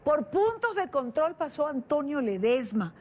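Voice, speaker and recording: A woman's voice: high-pitched, big pitch swings, a little slow, darkish tone. A woman interviewing a guest on TV news, pressing her questions and repeating them.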